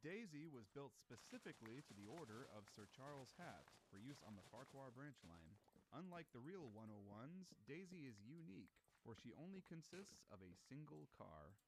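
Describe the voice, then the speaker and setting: A man's voice: medium pitch, very animated, moderate pace, background noise. A man reading scripted documentary-style narration in an enthusiastic, emphatic explainer manner.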